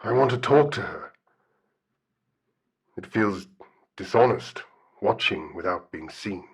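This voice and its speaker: An actor playing a solemn, shy, bear-like guardian spirit in an audio drama, speaking formally and hesitantly. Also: male voice, sits low, animated, takes it slowly, breathy edge, heavily acted. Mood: wistful, earnest. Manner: formal, hesitant, articulate speech. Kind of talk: audio drama radio call-in acting.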